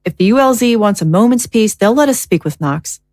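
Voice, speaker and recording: An actress playing a commanding government leader in an audio drama, speaking with formal, clipped authority. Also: female voice, medium pitch, animated, a little fast, darkish tone, no echo, clean studio sound, natural voice.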